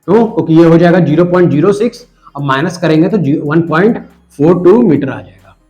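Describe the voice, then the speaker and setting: A man with a hoarse voice from a cold, patiently explaining a math lesson step by step and talking straight to the viewer. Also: a little high, a little mellow, lo-fi sound, soft background music. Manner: patient, addresses the viewer directly, repeats numbers slowly. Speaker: math teacher.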